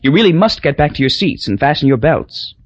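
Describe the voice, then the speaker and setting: A man's voice: on the high side, big pitch swings, fairly quick, no echo, highs cut off, pitched above the performer's own voice. A man voicing a female airline stewardess giving polite, firm instructions.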